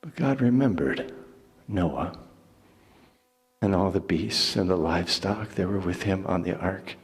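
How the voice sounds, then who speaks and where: A man giving a dramatic Bible reading as storytelling narration, with theatrical, declamatory and deliberate phrasing. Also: low-pitched, slightly rough. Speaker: scripture reader. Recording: long echoing hall reverb.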